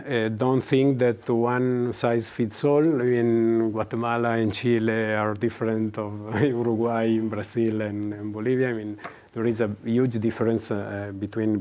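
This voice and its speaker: A man on a business panel, his voice sitting a little low. He speaks haltingly, with frequent fillers and restarted phrases. He keeps the tone of a webinar discussion. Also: flat delivery, mellow.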